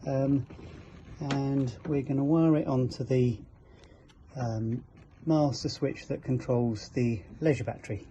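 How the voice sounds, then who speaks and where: A slightly dull, lo-fi recording with faint noise carries a man's soft-edged, mellow voice. He talks through a practical job informally, as a how-to presenter. He hesitates and searches for words while he works. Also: mid-range voice, steady tone, slow. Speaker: presenter of a boat fitting shop's how-to videos. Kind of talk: hands-on DIY walkthrough, informal commentary. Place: aboard a small cabin motorboat.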